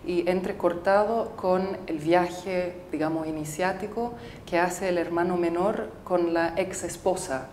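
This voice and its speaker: Low-voiced woman filmmaker in a roomy studio. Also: fairly even intonation, darkish tone, a little hiss or hum. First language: English. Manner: thoughtful, halting, with frequent fillers and restarts. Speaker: filmmaker interviewee. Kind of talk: casual interview answers.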